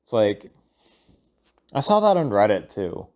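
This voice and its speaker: A man thinking aloud in a casual, rambling audio log, halting and informal, sometimes voicing imagined lines of dialogue. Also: fairly low pitch, very animated, a little mellow. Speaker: solo audio log host.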